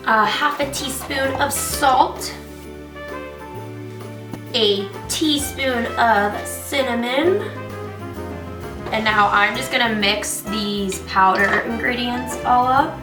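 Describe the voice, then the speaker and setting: A young woman with a stuffed-up, nasal voice, joking her way through a casual kitchen tutorial. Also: fairly high pitch. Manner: bubbly and jokey. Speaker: vlogger. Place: home kitchen, loud stove. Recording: roomy, mic at a distance, music behind.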